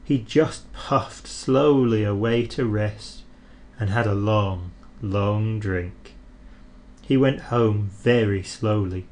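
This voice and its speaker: A man reading a children's train story aloud in an expressive, animated storyteller's manner. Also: lowish voice.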